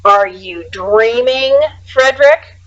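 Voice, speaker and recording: A woman's voice: on the high side, slow pace, fairly bright tone, muffled recording. A woman voicing small field mice in a children's storybook, asking reproachful, pleading questions with emphasis. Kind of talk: children's storybook read-aloud.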